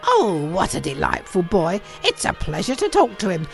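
An effusive old man from a classic storybook, acted out by a woman reader, a miser turned giddy and earnest. The delivery is exclamatory and swoops widely in pitch, with some creak in the old-fashioned phrasing. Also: wildly swooping pitch, moderate pace, faint music behind, heavily acted. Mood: delighted, eager. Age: older. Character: elderly miser, male, reformed, giddy with joy and earnest.

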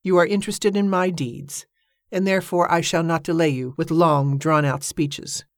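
Determined young widow, steady and composed, voiced by a woman reader. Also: fairly low pitch, moderate pace, dry recording, clean studio sound, lightly acted. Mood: resolute. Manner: steady, formal resolve.

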